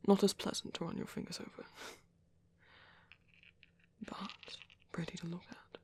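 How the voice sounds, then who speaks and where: A man voicing a caring, gentle vampire priest in a whispery, breathy ASMR roleplay, speaking hesitantly and reassuringly. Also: high voice, level tone, takes it slowly, full character voice. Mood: soft, caring. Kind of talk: soft-spoken ASMR roleplay.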